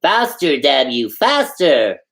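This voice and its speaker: A man voicing a frantic, indignant official who shouts imperious orders in a storybook reading. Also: mid-range voice, big pitch swings, slow pace, gravelly, voice pushed up, full character voice. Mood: frantic demanding.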